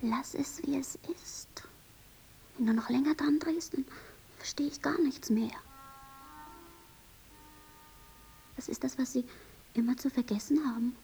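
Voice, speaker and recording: A woman playing a naive, puzzled angel in a radio play, with a soft, breathy voice and a plain, questioning manner. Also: sits high, moderate pace, rough recording.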